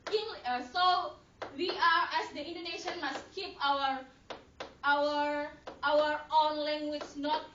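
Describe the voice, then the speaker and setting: Woman, very high voice, slow halting debate speech, non-native English, far from mic, echoey, dull lo-fi. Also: fairly even intonation, fairly bright tone, slight background noise. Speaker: debater, third speaker of negative team.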